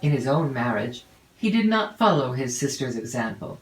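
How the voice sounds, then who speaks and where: Female audiobook narrator for classic fiction: formal, measured, deliberate phrasing, recorded in a reverberant room. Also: very deep voice, big pitch swings, faint music behind. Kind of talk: scripted literary narration of a classic novel. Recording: roomy, echoey home recording.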